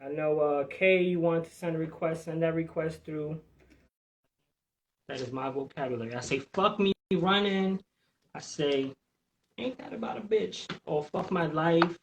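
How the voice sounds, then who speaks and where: Man from New Jersey, podcast host, slightly high voice, loose rambling delivery full of swearing. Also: unhurried, slight background noise. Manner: profane, rambling, streetwise talk. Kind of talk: casual live podcast chat.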